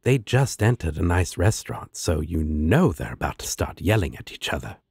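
Man acting a very deep, husky, sly demon. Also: sing-song, normal speed, darkish tone, dry recording, studio quality, full character voice. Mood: amused, laid-back. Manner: drawling, sardonic, coaxing endearments. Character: sly, teasing male demon roommate, laid-back and sardonic. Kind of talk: roleplay audio drama acting.

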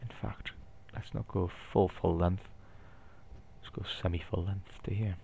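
Geordie male, deep, easygoing explainer thinking aloud, slightly muffled home-recorded sound. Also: measured pace, gravelly, a little hiss or hum. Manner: relaxed, explanatory, thinking aloud with light asides. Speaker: flight simulator video maker. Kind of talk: casual narrated gameplay walkthrough.

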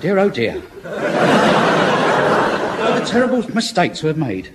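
Cheeky high-pitched London spiv. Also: male voice, wildly swooping pitch, a little slow. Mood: feigned innocence. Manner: glib, cheeky, feigned innocence. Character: cheeky wheeler-dealer private, a sly spiv. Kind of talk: 1970s British radio sitcom acting. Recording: old radio comedy with background hiss.

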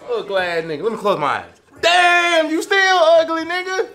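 A man's voice: extremely high pitch, wildly swooping pitch, moderate pace, pushed and bright. A man roasting a friend in a barbershop, laughing through his insults. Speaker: customer in a barbershop sketch. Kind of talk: scripted comedy banter. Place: barbershop, indoors.

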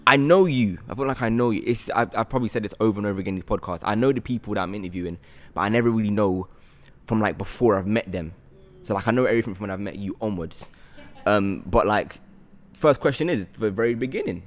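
A man's voice: lowish voice, quick pace. A British man in his twenties hosting a friendly, casual interview, effusive and repeating words for emphasis. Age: 20s. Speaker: podcast host and interviewer. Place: bright loft-style room indoors, on a sofa. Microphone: handheld microphone.

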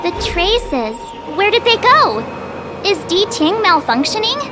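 An actress playing a perky, earnest young girl adventurer in a video game, expressive and chatty. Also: very high voice, unhurried, noisy background, heavily acted. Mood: puzzled, perky.

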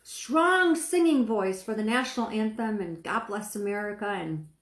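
A middle-aged woman with a soft speaking voice, preaching casually to a phone camera and checking that listeners follow her. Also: mid-range voice, animated, moderate pace, reverberant. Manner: chatty, asks the viewer questions, stretches words for emphasis. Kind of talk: informal sermon to camera. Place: small room indoors, seated.

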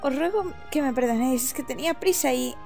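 A woman's voice: sits high, brisk, vocal fry, darkish tone, soft background music. A woman from voicing a courtly, formal young princess from a video game. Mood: polite.

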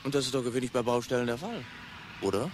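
An actor in a radio play playing a politely resigned male clairvoyant, speaking in a formal, measured, hesitant way. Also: medium pitch, lively intonation, natural voice. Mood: hesitant, defensive. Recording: noisy outdoor scene, traffic ambience. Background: outdoor traffic noise.

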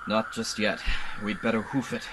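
A man's voice: fairly low pitch, level tone, moderate pace, noisy background, close to an everyday voice. A man playing a plucky, wisecracking human adventure hero in an old-time radio serial. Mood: wry, casual.

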